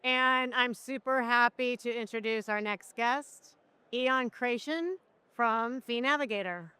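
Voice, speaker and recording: Female podcast host, high and bright, unhurried introductions. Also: clean tone, no echo.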